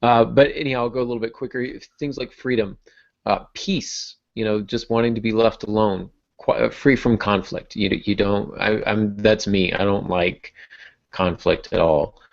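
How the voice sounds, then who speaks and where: A man's voice: fairly low pitch, steady tone, moderate pace, vocal fry. A man hosting a podcast, explaining things casually like a teacher talking to writers. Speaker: podcast host and writing teacher. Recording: dull, muffled lo-fi audio.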